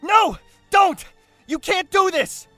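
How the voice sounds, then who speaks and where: A man playing a brave, chatty young castaway in a fan audio drama, with a wry, expressive, conversational delivery. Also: extremely high pitch, slow, no echo, soft background music, exaggerated voice. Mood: desperate.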